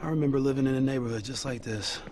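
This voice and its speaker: A man playing a reflective young survivor in a video game, speaking haltingly in a gravelly voice. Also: mid-range voice, a little mellow, a little hiss or hum, close to an everyday voice. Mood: wistful.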